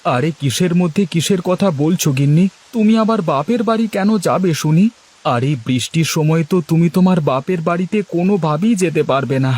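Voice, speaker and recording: A man's voice: fairly high pitch, a little fast, darkish tone, natural voice. A man voicing a harried, good-natured village husband in a cartoon, chatty and pleading. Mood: placating. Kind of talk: Bengali cartoon dialogue.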